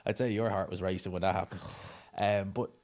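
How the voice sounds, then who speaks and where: A man's voice: low-pitched, steady tone, brisk, darkish tone. A man, a podcast guest talking boxing emphatically and mimicking the people he discusses, in a studio. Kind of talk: casual boxing chat. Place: studio set with dark curtains. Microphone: desk mic on boom arm.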